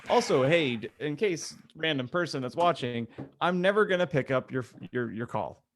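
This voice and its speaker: Male co-host, slightly high voice, relaxed conversational comedy banter. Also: normal speed. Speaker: podcast co-host. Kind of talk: casual comic banter.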